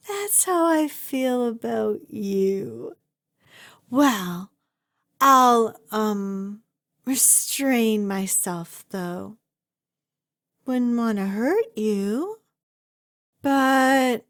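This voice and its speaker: A woman playing an obsessive, doting kidnapper in an ASMR roleplay, with a gushing, cutesy, halting and sweetly menacing delivery. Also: a little high, heavily acted. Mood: adoring, then awkward, confessional. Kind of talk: ASMR roleplay acting addressed to the listener.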